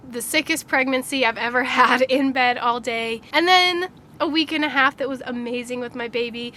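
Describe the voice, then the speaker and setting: A woman's voice: fairly high pitch, sharp tone, slight background noise. A woman, a mother of three, chatting candidly to camera in a casual vlog, slightly congested and nasal, laughing often.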